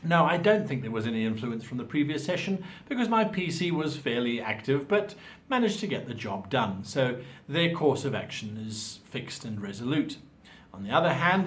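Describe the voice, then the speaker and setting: Man explaining with very lively pitch swings. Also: slight background noise. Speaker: host giving tabletop role-playing advice. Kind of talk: instructional monologue to viewers.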